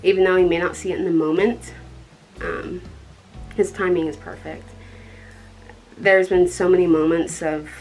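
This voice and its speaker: A young woman from Colorado with a dark tone, chatting casually to the camera in a rambling way, with many ums and soft laughs. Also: lowish voice, steady tone, moderate pace, long pauses, soft background music, rough recording. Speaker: vlogger.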